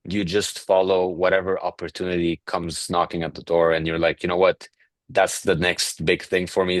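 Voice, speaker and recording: A man's voice: low voice, dry recording. A man hosting an interview, asking questions in a curious, casual and conversational manner. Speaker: interview host.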